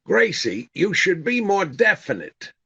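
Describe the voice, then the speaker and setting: Radio comedy straight man: gravelly, sharp-edged, patient, slightly dull recording. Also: sits high, wildly swooping pitch, unhurried, exaggerated voice. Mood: dryly patient. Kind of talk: 1930s radio comedy acting.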